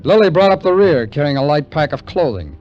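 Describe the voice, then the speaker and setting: An actor playing a weary, hard-boiled American adventurer in a 1950s radio drama, telling the story in terse, wry phrases. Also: male voice, fairly high pitch, very animated, a little fast, a little mellow. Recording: muffled vintage radio recording with music.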